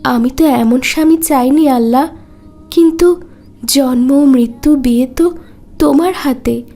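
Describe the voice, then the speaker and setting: A woman playing a devout, caring young wife in a cartoon, polite and gently insistent. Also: sits high, measured pace. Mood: prayerful, pleading. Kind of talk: cartoon dialogue.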